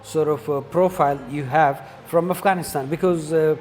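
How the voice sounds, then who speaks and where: A man's voice: a little high, fairly even intonation, normal speed, a little mellow, a little hiss or hum. A man hosting a casual interview and addressing viewers, with long reverb on his voice. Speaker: interview host. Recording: reverberant hall-like echo.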